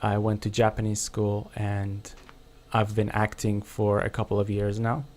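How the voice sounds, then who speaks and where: A young man from Iran, an actor, answering interview questions in a relaxed, polite way. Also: fairly low pitch, unhurried. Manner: relaxed, polite, laughs easily. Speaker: guest actor.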